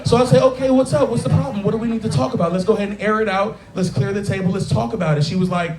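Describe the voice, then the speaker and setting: A man in his thirties works a taproom stage with a crude, chatty stand-up set, his voice sitting high. He keeps turning to the audience for agreement, and the crowd is loud. The room rings with a lot of echo. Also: rapid-fire. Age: 30s. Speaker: stand-up comedian. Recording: echoey bar room with crowd. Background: audience laughter.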